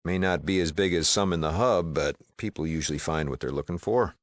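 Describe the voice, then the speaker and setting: Gruff small-town frontier mayor and shopkeeper for a game, male actor: American, bottom-of-the-range bass, no-nonsense, folksy, close dry sound. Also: fairly quick, no room sound, lightly acted. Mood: folksy, affable. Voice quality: deep, gravelly. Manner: folksy drawl, wry, no-nonsense. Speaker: video game character. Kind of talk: video game dialogue acting.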